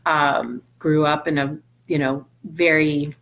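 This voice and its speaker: A woman's voice: deep voice, steady tone, takes it slowly, highs cut off. A middle-aged woman from Puerto Rico, a nutrition scientist answering audience questions on a livestream, thoughtful, with many ums and restarts. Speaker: nutrition scientist hosting a live Q&A.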